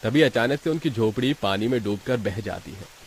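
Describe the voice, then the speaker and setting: A man's voice: on the low side, animated, moderate pace, a little hiss or hum. A man reading scripted narration as the storyteller of a moral tale.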